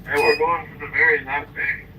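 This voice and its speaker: A man guiding a crane lift, giving brief, steady instructions. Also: a little high, a little fast. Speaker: crane dogman giving directions. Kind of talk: radio work instructions. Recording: distant two-way radio with loud noise.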